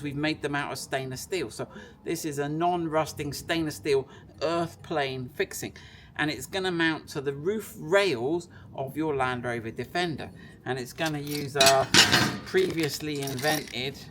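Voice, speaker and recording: A man's voice: mid-range voice, a bit bright. A man giving a casual hands-on product demonstration, chatting and thinking aloud as he works. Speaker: product presenter. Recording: clear background noise.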